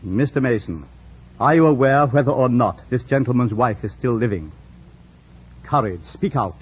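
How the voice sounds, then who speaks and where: Formal lawyer with husky voice. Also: male voice, medium pitch, lively intonation. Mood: formal, insistent. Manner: formal, deliberate, declamatory. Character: formal, unflappable London lawyer. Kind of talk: 1940s-50s radio drama acting. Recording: muffled old radio broadcast recording.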